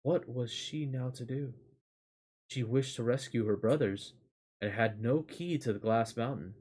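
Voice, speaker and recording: A man's voice: medium pitch, measured pace, a little mellow. A man reading a fairy tale aloud and chatting casually as a streamer, in a loose, rambling manner. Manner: casual, rambling, swears lightly. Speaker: storyteller and streamer.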